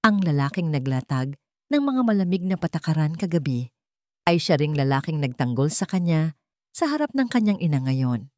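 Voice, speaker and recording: A woman's voice: low-pitched, big pitch swings, moderate pace, mellow, dry recording, studio quality. A woman narrator reading a scripted, dramatic love story.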